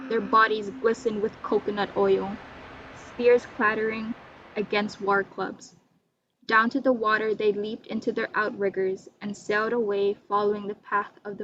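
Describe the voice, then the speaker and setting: A woman's voice: mid-range voice, a bit bright. A woman telling a scripted folktale as narrator with a dramatic storytelling delivery. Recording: muffled lo-fi, faint hum.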